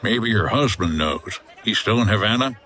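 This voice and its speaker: An actor playing a gruff, no-nonsense hotel owner turned sleuth in a comedy noir audio drama, terse and exasperated. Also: male voice, on the low side, expressive, moderate pace, sharp tone. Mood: hard, questioning.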